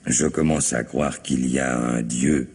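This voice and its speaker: An actor in a French radio mystery play voicing a brisk, familiar senior police detective with a bossy, wryly self-mocking manner. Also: male voice, a bass voice, steady tone, a little mellow, husky, heavily acted. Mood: confiding, wry. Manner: conversational, bossy, wry.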